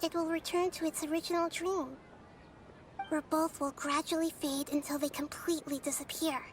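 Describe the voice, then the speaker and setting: A woman voicing a gentle, wise, childlike girl deity in a video game, speaking in a thoughtful, slightly formal way. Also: high-pitched, flat delivery, normal speed, a little hiss or hum, lightly acted. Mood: calm, explanatory.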